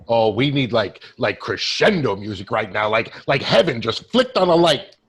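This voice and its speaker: Man acting a husky, sardonic dead friend. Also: mid-range voice, animated, moderate pace, fairly bright tone. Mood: sardonic, urging. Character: dead male friend, sardonic, exasperated, pushy but caring. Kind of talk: staged play reading.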